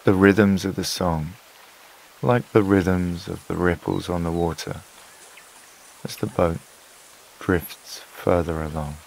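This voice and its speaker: Deep, slow-speaking man narrating sleep meditation. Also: vocal fry, slight background noise. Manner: calm, soothing, unhurried with long pauses. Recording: water and rain sounds behind voice. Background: flowing stream, rain-like ambience.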